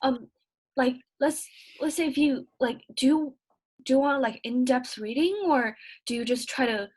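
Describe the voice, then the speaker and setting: A woman's voice: high voice, a little mellow, breathy edge, low-quality recording. Hesitant young woman student. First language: not English. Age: young adult. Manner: hesitant, halting, many fillers. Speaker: first-year student asking a question. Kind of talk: question in an online workshop.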